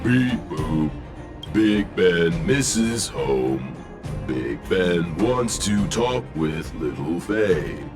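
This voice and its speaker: A childlike game robot with a very low voice. It talks extremely slowly in halting, simple phrases, sounding homesick, with music behind. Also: male voice, very animated, heavily acted. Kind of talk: video game voice acting.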